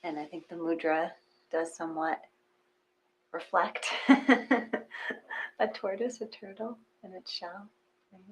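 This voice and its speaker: A woman's voice: lowish voice, slow pace, long pauses. A woman co-hosting a meditation session, chatting in an informal, agreeable way. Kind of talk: casual chat. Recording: noisy recording with room ambience.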